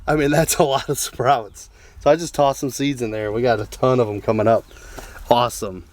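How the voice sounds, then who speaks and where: A man with a raspy voice from being sick, chatting upbeat to viewers while walking through a small backyard greenhouse. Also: mid-range voice, fairly even intonation, moderate pace, slight background noise. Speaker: urban homestead vlogger. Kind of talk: casual walkthrough vlog.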